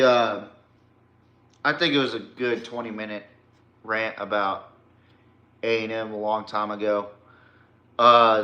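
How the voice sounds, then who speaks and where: A man's voice: mid-range voice, pushed and bright, reverberant. An American man hosting a sports podcast, ranting casually in a blunt, emphatic and profane way. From Texas, United States. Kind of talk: casual opinionated sports rant.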